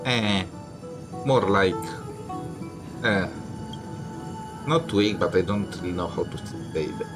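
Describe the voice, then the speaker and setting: A man with a low voice talks casually through a video game as he plays it. His remarks are wry and snarky, and game music plays clearly behind him. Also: slow, pauses often. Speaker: gaming streamer playing a story game. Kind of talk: casual playthrough commentary.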